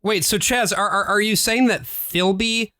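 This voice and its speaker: High-voiced man chatting on a podcast. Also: normal speed, pushed and bright, no room sound, studio quality. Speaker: podcast co-host. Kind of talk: casual analytical podcast chat.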